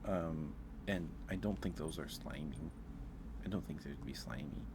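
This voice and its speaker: Low-voiced guy on an asmr stream, slow chatty rambling, repeats himself, clear background noise. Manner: hesitant, repeats phrases as he thinks aloud. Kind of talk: ASMR livestream, casual chat ranking things.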